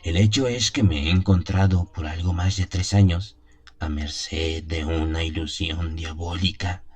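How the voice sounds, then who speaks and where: A man acts out an elderly country priest in a radio drama, his voice very low. He is haunted and wistful, confiding his story deliberately, with weighty pauses. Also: fairly even intonation, darkish tone. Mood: confiding, wry. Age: 70s as played. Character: seventy-year-old country priest, haunted, confessional, wistful. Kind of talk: dramatised first-person radio drama monologue.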